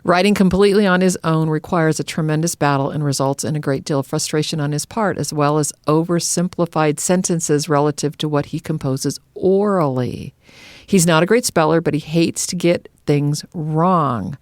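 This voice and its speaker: A woman's voice: deep voice, moderate pace, dry recording, clean studio sound. A middle-aged woman co-hosting a podcast in a conversational style, sometimes reading aloud. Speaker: podcast co-host.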